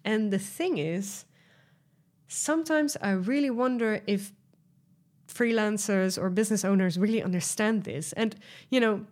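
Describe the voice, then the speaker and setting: A woman's voice: mid-range voice, measured pace, a little mellow. A woman from the Netherlands with a Dutch accent, hosting a solo podcast and talking through sales tips in a chatty, enthusiastic way with many fillers and restarts. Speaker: podcast host and sales coach.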